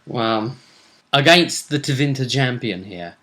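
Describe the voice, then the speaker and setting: A man's voice: medium pitch, animated, measured pace, a bit bright. A man giving a casual, conversational recap of a tabletop role-playing game as its host, often trailing off mid-sentence.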